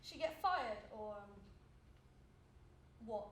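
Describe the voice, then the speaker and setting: A young woman acting on stage as an anxious, apologetic worker who speaks in halting, tentative phrases. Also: on the high side, animated, fairly bright tone. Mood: anxious, hesitant. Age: young adult. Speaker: actor in a stage play. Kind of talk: live stage acting, naturalistic dialogue. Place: indoor stage performance space. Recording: very echoey hall, background noise.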